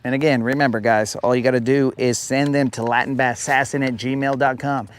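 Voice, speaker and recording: A man's voice: mid-range voice, fairly even intonation, brisk. A man hosting a live fishing stream outdoors on a lake. He chats casually, reads viewer comments aloud and often says "bro". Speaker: fishing livestream host.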